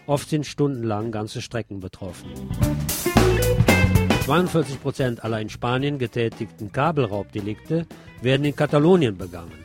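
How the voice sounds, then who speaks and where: A man's voice: mid-range voice, a little mellow. A man presenting scripted radio news, reading reports and adding wry, sardonic asides.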